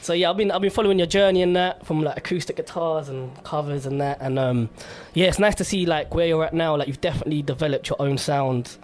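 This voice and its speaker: A young man hosting a casual radio interview, relaxed and chatty, full of "like" and "yeah". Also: on the high side, quick pace, few pauses. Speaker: radio host.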